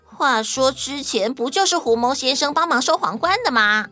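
A woman voicing a lively female kangaroo who eagerly explains herself in a children's detective story. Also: high voice, moderate pace, faint music behind, pitched above the performer's own voice. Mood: explaining, matter-of-fact.

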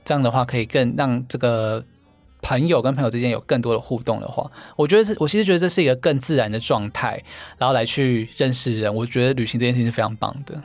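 A young man, chatting as a podcast guest and explaining things affably with frequent quick agreeing asides. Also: mid-range voice, no room sound, faint music behind. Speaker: podcast guest, event organizer. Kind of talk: casual interview chat.

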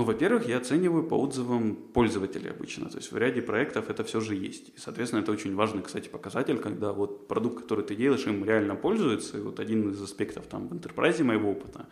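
Fast-talking low-voiced man, roomy sound. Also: darkish tone. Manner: rambling, many fillers and restarts. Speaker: job candidate, podcast co-host. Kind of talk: conversational interview answers.

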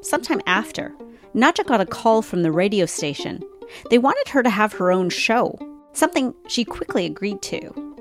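A woman radio host giving calm, even, scripted documentary narration. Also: medium pitch, moderate pace, soft background music.

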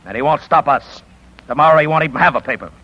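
An actor playing a smug, menacing gangster boss with a tough, dismissive, slangy delivery in 1950s radio drama style. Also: male voice, a little high, fast talker, close to an everyday voice. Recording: dull vintage 1950s radio broadcast.

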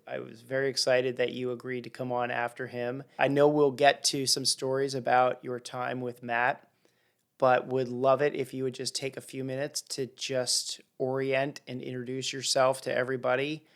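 A man's voice: mid-range voice, a bit bright. A man hosting a leadership podcast, interviewing his guest in an earnest, enthusiastic way. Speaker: podcast host.

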